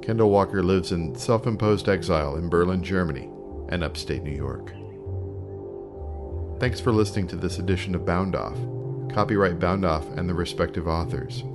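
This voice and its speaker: A man's voice: sits low, moderate pace. A man reading a scripted podcast outro as the show's announcer. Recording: music under the voice.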